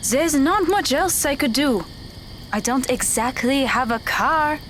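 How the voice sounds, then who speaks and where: A woman's voice: high-pitched, expressive, unhurried, fairly bright tone, a little hiss or hum. A woman acting in an audio drama as a weary, guarded runaway teenage magical girl who answers tersely. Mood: weary, stubborn.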